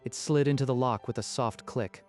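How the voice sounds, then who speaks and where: A man with a medium-pitched voice narrates a scripted dramatic story. Music plays clearly behind his storytelling. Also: normal speed, darkish tone.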